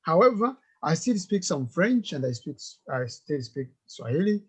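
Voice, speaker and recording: Earnest man, higher pitch. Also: big pitch swings, breathy edge. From Uganda. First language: Kinyarwanda. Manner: earnest, halting with repeated words. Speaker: guest. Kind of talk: webinar interview answers. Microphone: video call.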